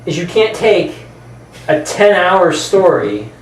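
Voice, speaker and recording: A man's voice: sits high, very animated, slow pace. A man hosting a casual movie chat, recorded in a roomy space at some distance from the mic. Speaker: podcast host. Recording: roomy, distant mic, faint background noise.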